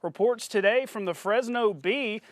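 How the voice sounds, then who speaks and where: A man reading a scripted television sportscast as anchor, with punchy, announcer-like delivery and highlight narration. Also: unhurried, a little hiss or hum.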